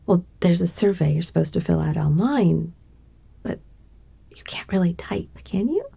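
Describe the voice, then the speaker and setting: A woman acts out a towering yet gentle secretary in an intimate ASMR roleplay. Her voice is very breathy and mellow, sitting a little low. She speaks tenderly, with coy, doting teasing. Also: lively intonation, normal speed, full character voice. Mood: helpful, playful. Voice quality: breathy, soft, often slipping into whisper. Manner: tender, coy, hesitant, giggly asides. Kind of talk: ASMR roleplay, intimate one-sided conversation.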